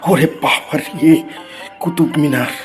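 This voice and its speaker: A man's voice: a little high, unhurried, soft background music, lightly acted. A man voicing an exasperated schoolteacher who scolds his pupils in a cartoon. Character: exasperated adult male schoolteacher. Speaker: teacher.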